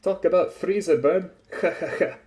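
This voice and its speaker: Man acting a soldier, gruff and boozy, smug, loud drawn-out delivery, storybook character. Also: on the high side, expressive, pitched above the performer's own voice, close to an everyday voice. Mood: amused. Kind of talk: dramatised story reading.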